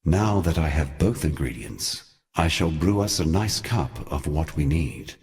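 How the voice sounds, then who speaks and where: Ancient male god, rock-bottom bass pitch, solemn, world-weary, formal archaic diction, theatrical fantasy game dialogue. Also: expressive, measured pace, darkish tone, exaggerated voice. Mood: dry satisfaction.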